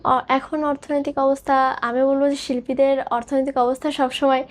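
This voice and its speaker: A woman's voice: high voice, flat delivery, moderate pace. A woman, a singer giving thoughtful, somewhat hesitant answers as an interview guest.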